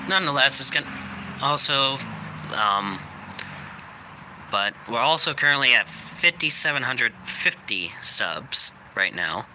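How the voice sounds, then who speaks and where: Rambling young man, slightly raspy, noisy outdoors. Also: mid-range voice, animated, measured pace, pushed and bright. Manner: rambling, many fillers. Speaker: channel host. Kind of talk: casual vlog update. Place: outdoors, people walking by.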